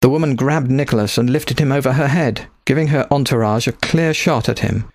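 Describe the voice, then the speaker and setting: A man narrates fiction in a medium-pitched voice. The recording is dry and close, in the manner of an audiobook. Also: a little fast, darkish tone, no echo. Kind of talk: scripted story narration.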